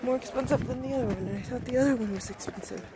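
A woman's voice: a little high, racing, very noisy. A young woman vlogging casually and thinking aloud at a busy mountain lodge, talking into a handheld camera. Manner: chatty, playful, thinking aloud. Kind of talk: casual chat. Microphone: handheld camera held close. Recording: crowd chatter in the background.

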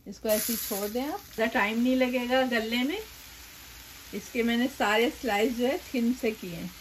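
A middle-aged woman walks viewers through a home recipe at her kitchen counter, chatty and explaining each step as she works. Her voice sits a little high for a woman. She keeps turning to the viewer as she goes. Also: moderate pace, a little hiss or hum, poor audio. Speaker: cooking channel host. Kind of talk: home cooking demonstration.

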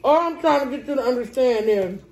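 A woman's voice: high-pitched, animated, brisk, a little mellow, highs cut off. A young woman with a Southern US accent, chatting sassily and teasingly while eating at a kitchen table. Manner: sassy, drawling, teasing. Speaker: mukbang co-host. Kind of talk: casual banter while eating. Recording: echoey kitchen.

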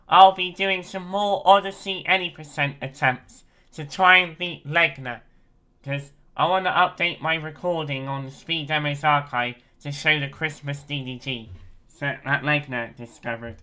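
A young man in his twenties giving an excitable, casual video update from his bedroom on a webcam. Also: high-pitched, clear, resonant tone. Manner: excitable, chatty, frequent giggles. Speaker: game developer and streamer. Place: small bedroom, indoors. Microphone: webcam at desk.

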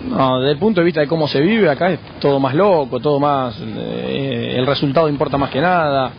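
An Argentine man speaking in a measured, halting way, pausing and restarting his phrases. The sound is muffled, with a faint hiss underneath, like a radio broadcast. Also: medium pitch, creaky. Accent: Argentine Spanish. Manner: measured, frequent hesitations and restarts. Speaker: footballer guest. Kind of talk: radio interview answers.

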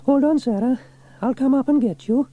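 A man's voice: extremely high pitch, normal speed, phone-quality sound, voice pushed up. A man voicing a kindly, confident young woman firefighter in a children's storybook. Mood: confident, reassuring. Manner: confident, reassuring.

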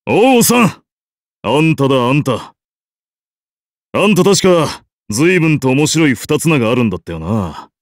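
A grandiose game emperor, brash and theatrical. His delivery swings widely in pitch and takes its time, recorded close and dry. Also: very animated, a little slow, no echo. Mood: teasing. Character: bold, grandiose, hearty emperor and war leader. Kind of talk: game character voice lines.

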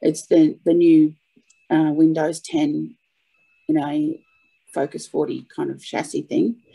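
A woman's voice: low-pitched, flat delivery, slow pace, creaky, mellow, slightly rough, low-quality recording. A woman taking part in a webinar, casually describing her own experience. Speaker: webinar participant, audio typist.

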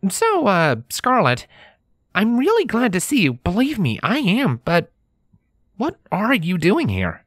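Narrator's nerdy male unicorn scholar, high lifted voice, swooping, awkward, chattery, storybook character. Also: a little slow, no room sound, clean studio sound, heavily acted. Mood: friendly, puzzled. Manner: hesitant, chattery, excitable.

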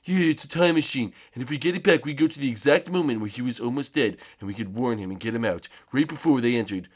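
A man's voice: medium pitch, animated, fast talker, slightly rough. Guy doing a chill nerdy inventor kid, matter-of-fact. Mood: explaining. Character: nerdy young male inventor, calm.

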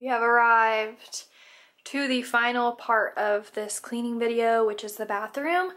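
A woman's voice: a little high, a little slow, over loud music. A young woman in her twenties talking casually and upbeat to camera while cleaning her apartment, with frequent "like"s. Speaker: lifestyle vlogger. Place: apartment kitchen and bathroom.

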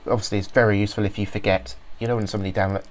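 A man's voice: fairly low pitch, flat delivery, very fast. A man rambling through a casual walkthrough of a program he is reviewing, full of ums and restarts. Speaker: software reviewer. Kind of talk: casual screen-recorded software walkthrough.

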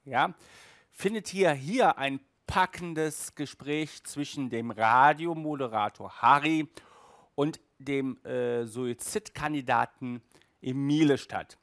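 A man's voice: mid-range voice, slow pace, a bit bright, dry recording, clean studio sound. A man in his thirties talking casually and emphatically to camera as a reviewer, with frequent tag words, recorded indoors on a headset mic. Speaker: audio drama reviewer and host. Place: small indoor room at home.

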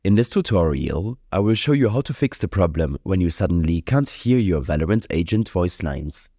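Man, deep voice, slightly husky, scripted how-to narration, measured delivery, close mic. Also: big pitch swings, warm tone, no room sound. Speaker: tutorial host.

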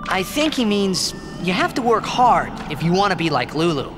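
Boyish teen hero, high lively voice, casual, earnest, game cutscene. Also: male voice, moderate pace, long reverb tail, over music. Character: boyish, upbeat, loyal teenage athlete hero. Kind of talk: video game voice acting.

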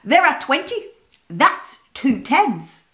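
A woman's voice: sits high, sing-song, slow, voice pushed up, full character voice. A woman voicing a sly, greedy little male sugar glider who chuckles to himself. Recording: roomy echo.

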